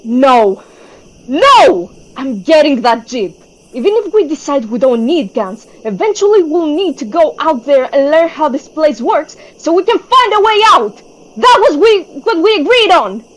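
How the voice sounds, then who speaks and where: High-voiced, intense young female leader, acted by a woman. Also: very animated, measured pace, poor audio. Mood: angry, indignant. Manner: intense, earnest, emphatic. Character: anxious, driven young female leader of trapped group. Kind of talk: fan-dub webtoon voice acting.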